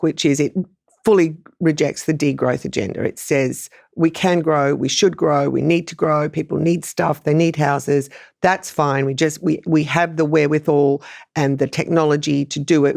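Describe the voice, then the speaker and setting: Australian woman commentator with a creaky voice. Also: low-pitched, darkish tone. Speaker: news commentator, panellist. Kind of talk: conversational political analysis.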